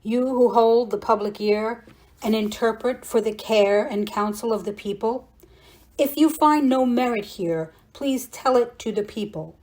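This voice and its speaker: A woman reads poetry aloud with a slightly raised pitch. Her delivery is very slow and declamatory, with each phrase set out deliberately. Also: darkish tone, low-quality recording. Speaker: poetry reader. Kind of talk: measured poetry recitation.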